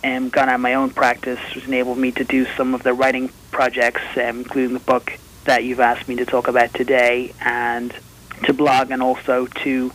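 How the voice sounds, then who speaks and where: A man with an Irish accent softened toward American, calling in by phone as an author and lawyer, explaining in a measured, hesitant way. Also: medium pitch, completely flat delivery, slight background noise, poor audio. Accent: Irish, partly Americanized. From Republic of Ireland. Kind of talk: call-in radio interview. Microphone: telephone line.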